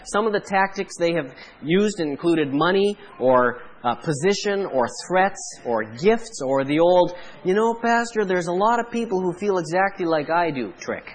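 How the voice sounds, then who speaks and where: Canadian pastor preaching, high voice. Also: male voice, expressive. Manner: measured preaching with emphatic pauses. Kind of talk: sermon.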